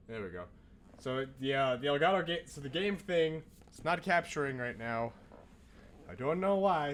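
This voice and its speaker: A man's voice: fairly high pitch, a bit bright, a little hiss or hum. A man streaming a video game, chatting casually with his viewers in rambling asides and reading on-screen text aloud.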